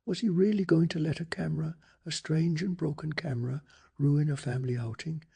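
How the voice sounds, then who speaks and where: A man narrating a story in a calm, measured, gentle soft-spoken ASMR style. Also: on the high side, gravelly, breathy edge. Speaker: narrator of a bedtime story channel.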